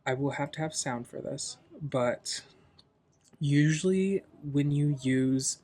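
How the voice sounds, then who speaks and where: Casual man giving a software tutorial. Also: a little high, takes it slowly. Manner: informal, loosely explanatory. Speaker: tutorial host.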